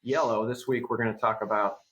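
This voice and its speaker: A man's voice: fairly low pitch, fairly even intonation, brisk, fairly bright tone. A man in his fifties co-hosting a trade podcast, talking through news in a rambling, conversational way. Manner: rambling, conversational, many fillers. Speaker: co-host. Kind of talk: casual podcast chat.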